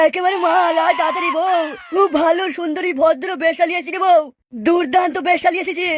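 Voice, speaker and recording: A woman voicing a foolish, excitable village man in a comic cartoon, blustering and repeating himself. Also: extremely high pitch, noisy background. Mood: eager, calling out. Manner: repetitive, blustering.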